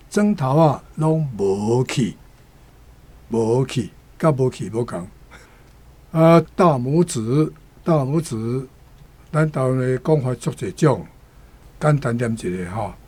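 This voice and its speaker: Radio language explainer: man, Taiwanese accent, relaxed, unhurried, mid pitch. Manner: repeats words deliberately. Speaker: language commentator. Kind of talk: unhurried explanation of words and idioms.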